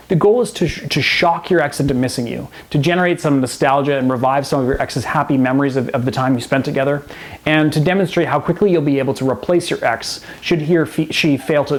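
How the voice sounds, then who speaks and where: A man speaking as a relationship coach and host, delivering scripted advice straight to the viewer in an instructive way. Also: on the high side. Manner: instructive, direct address to the viewer. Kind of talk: scripted advice video to camera.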